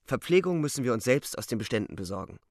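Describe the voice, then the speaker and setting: A man's voice: medium pitch, big pitch swings, fast, dry recording, clean studio sound, close to an everyday voice. Young soldier narrating the war played by a man. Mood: brisk, vivid. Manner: terse present-tense storytelling. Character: young German front soldier recounting the war. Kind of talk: literary radio play narration.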